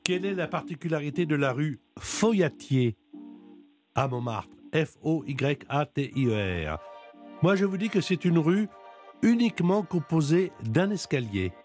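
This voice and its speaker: A man hosting a chat and quiz show, speaking in a measured way and putting stress on each answer option. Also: a little high, big pitch swings, darkish tone, faint music behind. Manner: measured, plays up quiz options with rising emphasis. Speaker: radio quiz show host. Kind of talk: hosted interview and quiz.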